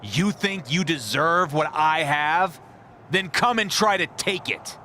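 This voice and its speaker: A cocky wrestling champion. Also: male voice, fairly high pitch, lively intonation, slow, sharp tone, a little hiss or hum, exaggerated voice. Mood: defiant, determined. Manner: cocky, determined promo delivery. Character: confident, defiant male pro wrestling champion. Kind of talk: scripted video game cutscene acting.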